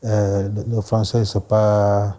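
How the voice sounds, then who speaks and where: A man in his forties from Ghana, a pastor whose first language is English, speaking with a Ghanaian accent in a measured studio interview, often answering with short agreements. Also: fairly low pitch, completely flat delivery, mellow. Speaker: pastor and guest.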